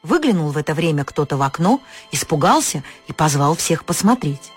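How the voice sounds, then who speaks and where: A woman narrating a folk fairy tale for an audio story, expressive and lifting her pitch on key words. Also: a little fast, husky, soft background music.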